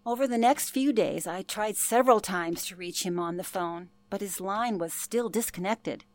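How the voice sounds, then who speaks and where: A woman's voice: medium pitch, normal speed, darkish tone, no room sound, lightly acted. An actress playing an older former telephone operator, telling her past in an earnest, genteel way for a radio play. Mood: storytelling. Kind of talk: radio play, interview-style reminiscence.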